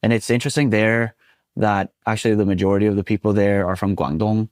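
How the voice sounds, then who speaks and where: Chatty Swedish man with a low voice. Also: quick pace, no room sound, clean studio sound. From Sweden. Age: 20s. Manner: relaxed and chatty, many fillers like "you know". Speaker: guest. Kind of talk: casual interview.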